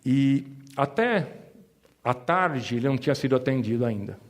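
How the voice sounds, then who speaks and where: A man's voice: mid-range voice, expressive, moderate pace. A middle-aged man with a Mooca accent from São Paulo tells a personal family story to an audience, with joking asides. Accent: São Paulo Italian-influenced (Mooca). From Brazil. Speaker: insurance advisor giving a talk.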